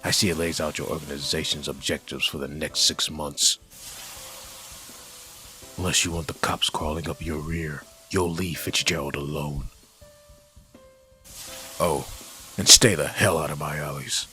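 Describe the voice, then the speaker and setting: An undead antihero with an extremely low voice and a sharp, forceful edge. He delivers commanding threats in a comic book audio drama, with music faintly behind him. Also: steady tone, measured pace, pauses often, heavily acted. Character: menacing undead male antihero threatening crooks and cops.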